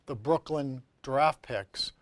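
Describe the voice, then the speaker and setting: A man's voice: medium pitch, takes it slowly, dry recording. A middle-aged or older man co-hosting a local talk show, chatting casually and conversationally. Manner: conversational, prompting his co-host. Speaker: co-host, senior center director.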